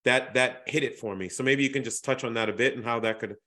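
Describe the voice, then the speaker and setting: A man from Boston hosting a podcast, with a bright and forceful voice. He chats casually and halts and repeats words while he works out what to ask. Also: mid-range voice. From Boston, USA. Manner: halting, repeats words while searching for phrasing. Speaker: podcast host. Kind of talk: casual interview chat.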